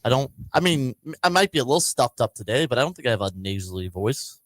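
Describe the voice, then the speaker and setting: A man's voice: medium pitch, sing-song, quick pace, a bit bright, no echo. A young man in his twenties co-hosting a talk show with wry, self-mocking banter. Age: 20s. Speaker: co-host. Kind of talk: casual sports talk chat.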